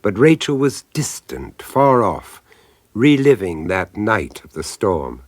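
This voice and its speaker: An actor playing a grave, suspenseful storyteller, narrating a radio horror drama in a dramatic, deliberate way. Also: male voice, fairly low pitch, very animated, no echo, full character voice.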